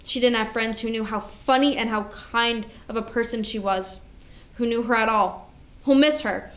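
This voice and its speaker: An echoey room carries the fairly high voice of a woman acting as a devoted older sister in a stage drama. She speaks earnestly, weighed down by grief and duty. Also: normal speed, a bit bright, close to an everyday voice. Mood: grieving. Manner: earnest, emotional delivery. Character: devoted, grieving older sister carrying guilt and responsibility. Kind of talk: staged play reading.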